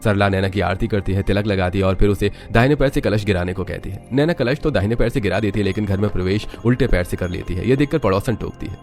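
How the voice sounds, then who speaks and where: A man's voice: fairly low pitch, fast talker, hardly any pauses, darkish tone, soft background music. A man narrating a scripted family story as a third-person storyteller.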